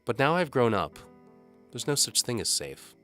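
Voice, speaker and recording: A man's voice: medium pitch, very animated, moderate pace, fairly bright tone, faint music behind, pitched above the performer's own voice. A man voicing a proud, earnest young tavern woman, a knight's daughter, in an audio drama. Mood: earnest, wistful. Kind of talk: audio drama character acting.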